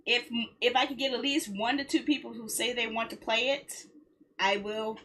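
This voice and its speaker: A woman's voice: fairly high pitch, fairly even intonation, moderate pace, sharp tone, a little hiss or hum. A woman game streamer chatting casually on a livestream in a playful, rambling way.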